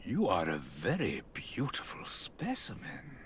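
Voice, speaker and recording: Creepy villain scientist, hushed, very slow, swooping intonation, faint background noise. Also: male voice, mid-range voice, wildly swooping pitch, heavily acted. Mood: creepy fascination. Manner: slow, hushed, breathy half-whisper. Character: creepy, fascinated villain scientist, softly menacing.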